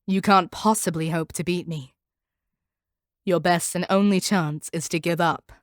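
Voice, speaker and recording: Fantasy audio drama: actress as a ruthless, commanding woman monster hunter. Lowish register, haughty and stern delivery, very dry sound. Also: no room sound. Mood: commanding, scornful. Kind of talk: scripted audio roleplay acting.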